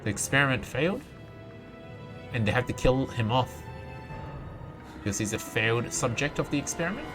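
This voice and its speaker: A man livestreaming a video game, reading dialogue aloud haltingly and dropping in his own reactions. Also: medium pitch, animated, music in the background. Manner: reads on-screen text aloud haltingly, interjects reactions. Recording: clearly noisy mic with clicks.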